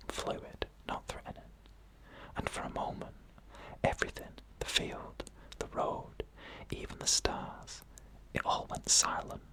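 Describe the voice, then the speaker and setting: An ASMR storyteller narrating an eerie first-person tale entirely in a hushed whisper, with slow, deliberate phrasing and pauses, close to the microphone. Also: poor audio.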